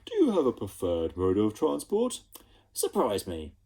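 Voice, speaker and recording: A man voicing a cheeky, sarcastic shape-shifting slime creature in a storybook reading, with a husky, mellow tone. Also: fairly low pitch, wildly swooping pitch, unhurried, heavily acted. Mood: breezy.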